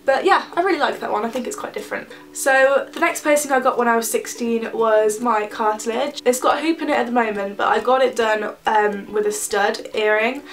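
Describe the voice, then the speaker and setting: A woman's voice: on the high side, fairly quick, few pauses, far from the mic. A young woman with a stuffy, nasal voice from a cold, chatting casually to camera as a vlogger, rambling with lots of ums. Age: young adult.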